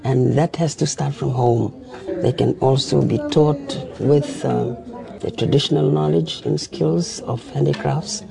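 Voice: medium pitch, steady tone, measured pace, gravelly, a crowd in the background. A speaker with a Fijian accent explaining things in an interview, emphatic and checking in with short tag questions. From Fiji. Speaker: craft society representative.

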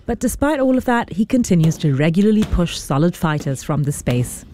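Mellow-voiced documentary reporter. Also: medium pitch, sing-song, a little hiss or hum. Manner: measured, informative. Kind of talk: scripted documentary narration.

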